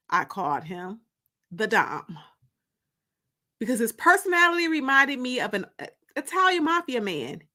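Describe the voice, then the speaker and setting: A woman narrating a first-person audiobook story in an expressive, emphatic, dramatic way. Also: fairly high pitch. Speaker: author and narrator.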